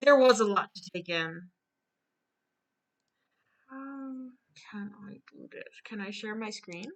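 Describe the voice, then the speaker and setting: A woman's voice: mid-range voice, expressive, slow pace, fairly bright tone. A woman chatting casually and tentatively in a group stream. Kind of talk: casual chat.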